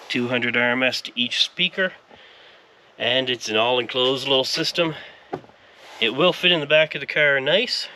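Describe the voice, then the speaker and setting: A man's voice: mid-range voice, pushed and bright, a little hiss or hum. A man presenting a casual car audio installation tutorial, explaining each step in a conversational way in a workshop. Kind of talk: casual how-to vlog narration. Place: car repair shop.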